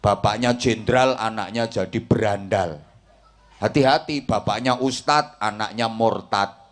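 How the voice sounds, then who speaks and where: A man's voice: fairly low pitch, measured pace. A middle-aged man with a Javanese accent, preaching a comic sermon to a village congregation and teasing the crowd. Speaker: Islamic preacher.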